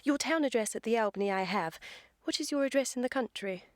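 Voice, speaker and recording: A woman's voice: on the high side, expressive, quick pace, dry recording, natural voice. Woman acting a poised society lady, dry sound. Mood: romantic gravity. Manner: formal, commanding, precise. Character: poised, romantic, self-assured young Victorian society lady. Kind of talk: period radio comedy acting.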